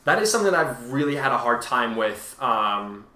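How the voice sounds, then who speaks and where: A man's voice: mid-range voice, sing-song, normal speed, pushed and bright. A man hosting a casual discussion of his own writing, rambling and often correcting himself as he goes. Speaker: host, fan fiction author and reader. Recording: echoey room.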